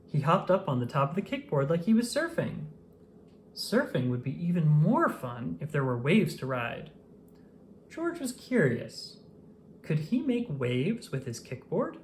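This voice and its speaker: A woman reading a children's picture book aloud in an expressive storytelling manner. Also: fairly low pitch, a little hiss or hum. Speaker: storybook reader. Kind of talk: children's read-aloud narration.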